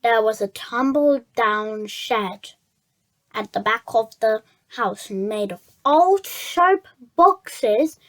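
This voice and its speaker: A high-voiced reader reading a children's story aloud, stumbling over words and repeating phrases. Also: expressive, drawn-out words, fairly bright tone.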